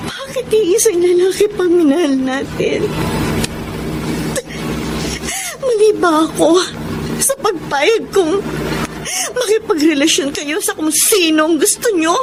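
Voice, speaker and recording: An actress playing a loving young adult daughter in a Filipino radio drama, open-hearted and easily wounded. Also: female voice, very high voice, measured pace. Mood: heartbroken, tearful. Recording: noisy radio drama track.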